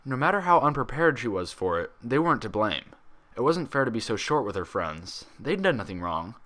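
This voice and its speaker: A man reading fan fiction aloud as narrator, with dramatic, expressive scripted delivery. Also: mid-range voice, brisk.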